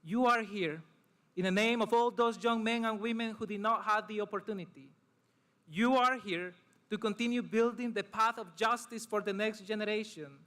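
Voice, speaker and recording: Bright-voiced young man. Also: sits high. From El Salvador. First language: Spanish. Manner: earnest, measured, short phrases with pauses. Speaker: student commencement speaker. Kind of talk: formal prepared speech.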